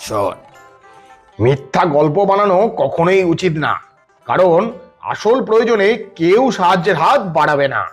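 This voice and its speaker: A man playing a kindly old grandfather in a children's cartoon, speaking in a familiar, gently instructive way. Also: high-pitched, expressive, normal speed, soft background music. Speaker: storyteller who frames the tale. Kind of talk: slow cartoon dialogue with children.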